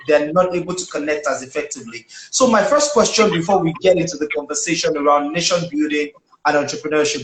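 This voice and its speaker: A man in his thirties hosts a live interview in a conversational way. His voice sits a little high. The call has a roomy echo with faint noise behind it. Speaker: show host, interviewer. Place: small indoor room. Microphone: close phone or webcam with wired earphones on video call.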